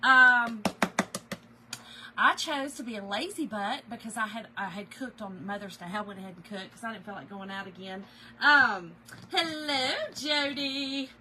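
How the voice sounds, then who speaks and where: Hoarse, bright woman chatting live. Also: on the high side, unhurried, slight background noise, rough recording. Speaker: craft livestream host. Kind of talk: casual livestream chat greeting viewers. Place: home office.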